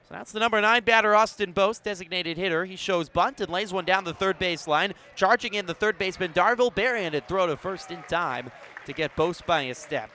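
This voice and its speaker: Give the voice of a male radio baseball announcer with a fairly high pitch. He calls play-by-play live from an outdoor ballpark, keeping a measured narration and punching up the key calls. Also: sharp tone, slight background noise.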